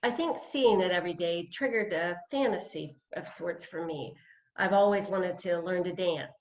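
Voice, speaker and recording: A woman's voice: fairly low pitch, normal speed, a little mellow, slight background noise, close to an everyday voice. A woman playing a self-deprecating, hesitant retired woman of fifty-eight, a beginner dancer, in a play read over video call. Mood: wry, hesitant. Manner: hesitant, wry, chuckling. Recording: roomy, dull video-call audio.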